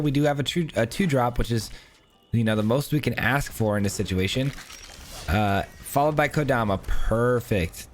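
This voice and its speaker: A man giving casual, upbeat commentary while playing a card game, thinking aloud, his voice hoarse as he loses it. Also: medium pitch. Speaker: gaming channel host. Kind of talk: casual live gameplay commentary.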